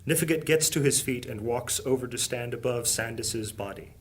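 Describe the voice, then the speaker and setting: A man's voice: medium pitch, moderate pace, husky. A man reading scripted narration for a fantasy audio drama. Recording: music under the voice. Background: music.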